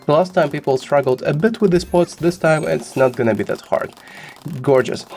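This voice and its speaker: A man's voice: on the high side, moderate pace, darkish tone, slight background noise. Man with a sick voice explaining game strategy. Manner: instructive, many "uh" fillers. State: sick, slightly rough voice. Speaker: gaming guide host.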